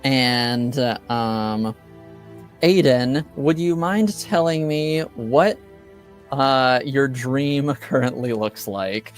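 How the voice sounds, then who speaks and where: A man narrating an improvised tabletop game as game master, halting and tentative as he feels for words. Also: medium pitch, soft background music.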